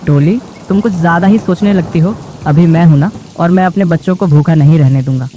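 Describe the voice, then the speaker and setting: Man as a mellow cartoon bird father in rain. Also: a little high, brisk, natural voice. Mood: reassuring. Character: reassuring, devoted bird father and husband. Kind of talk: children's cartoon dialogue. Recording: rain noise behind the voice.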